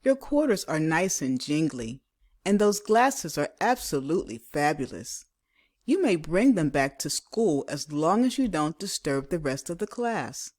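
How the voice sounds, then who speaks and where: A woman voicing a kindly, easygoing male schoolteacher from a storybook in a gentle, encouraging manner. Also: low voice, big pitch swings, unhurried, dry recording, clean studio sound, heavily acted. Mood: reassuring.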